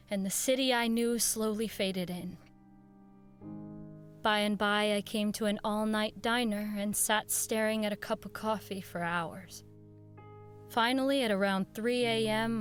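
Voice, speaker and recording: An actress playing a haunted, grieving woman who recounts an eerie horror in halting, intense radio drama narration. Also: medium pitch, lightly acted. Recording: music under the voice. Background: music.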